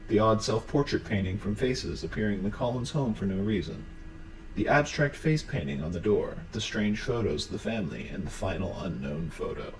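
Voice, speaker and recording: A man's voice: on the low side, animated, a little fast, low-quality recording. A man narrating an explainer about a horror series in a scripted recap style. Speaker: video narrator.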